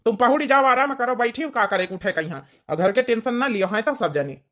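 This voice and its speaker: A woman's voice: a little high, animated, brisk, fairly bright tone. A woman voicing a grumpy elderly village father-in-law in a cartoon comedy, rambling and repeating in an Awadhi accent. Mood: concerned. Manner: rambling, repetitive scolding.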